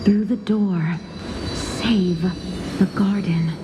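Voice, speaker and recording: An actress voicing a solemn, commanding disembodied voice calling from beyond a door in a game cutscene, with music behind. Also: female voice, mid-range voice, fairly even intonation, drawn-out words, noisy background. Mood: ominous.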